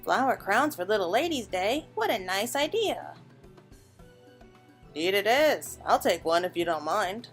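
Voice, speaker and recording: A woman's voice: medium pitch, very animated, a little slow, faint music behind. A woman voicing friendly, wry engineers from a fantasy game in a light conversational read-aloud.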